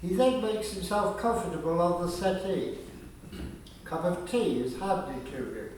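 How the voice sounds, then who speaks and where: Slow male narrator in a very echoey room. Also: high voice, a little mellow. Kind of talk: scripted radio play narration. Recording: very echoey, distant, faintly noisy room.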